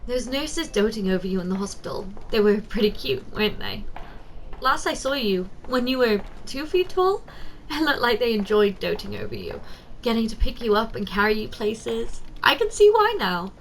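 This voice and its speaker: Giant female secretary played by a woman, ASMR roleplay: higher-pitched, soft, tender and teasing toward her tiny boss, noisy ambient background. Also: big pitch swings, moderate pace. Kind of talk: ASMR roleplay, addressing the listener directly.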